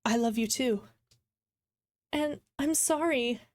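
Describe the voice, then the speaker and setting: Female reader as pleading woman lover, high voice, soft, insistent, dramatic visual novel reading. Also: takes it slowly, no echo. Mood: tender.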